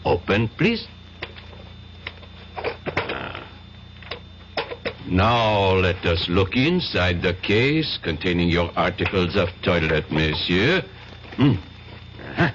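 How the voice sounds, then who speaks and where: An actor playing a courteous but unyielding French police inspector in a 1970s radio drama, with a French accent and formal, deliberately polite, hesitant phrasing. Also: male voice, deep voice, very animated, full character voice. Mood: firm, probing. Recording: dull, noisy old radio broadcast.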